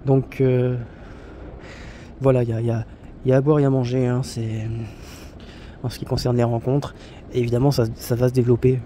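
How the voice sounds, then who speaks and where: A man's voice: mid-range voice, flat delivery, fairly quick, pauses often, dark tone, a little hiss or hum. A man talking casually about solo travel, rambling with many fillers, restarts and blunt slang. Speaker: travel vlogger. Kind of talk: casual spoken monologue.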